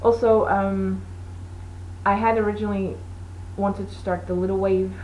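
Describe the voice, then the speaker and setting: A woman's voice: medium pitch, little pitch movement, normal speed, dull audio, slight background noise. A woman whose first language is Portuguese, hosting a knitting show in a casual, rambling chat with many fillers. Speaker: knitting podcast host.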